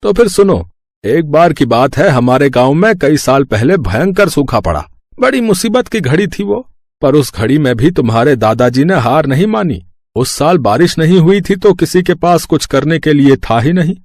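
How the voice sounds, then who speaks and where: Man playing a gentle father, fairly high voice. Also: lively intonation, moderate pace, no echo. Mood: fond, reflective. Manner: patient, fond storytelling. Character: gentle village father telling family history. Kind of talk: storybook character acting.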